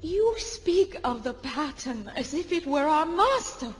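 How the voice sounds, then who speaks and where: A woman's voice: sits high, very animated, unhurried, soft background music. A woman playing a grieving, defiant young noblewoman in an audio drama, speaking formally and with passion. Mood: pleading. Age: young adult. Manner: formal, impassioned. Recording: long hall reverb.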